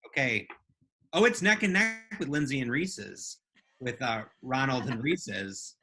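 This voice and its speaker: A woman's voice: rumbling low, expressive, a little slow, bright, forceful tone, breathy edge. A woman co-hosting a casual live podcast chat in a playful way. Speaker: podcast co-host.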